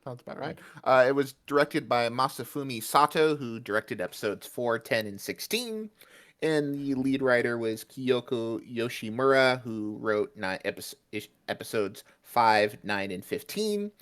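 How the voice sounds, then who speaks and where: A man's voice: medium pitch, animated, unhurried, fairly bright tone. A man co-hosting a podcast, recapping an episode in a chatty, jokey way. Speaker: podcast co-host.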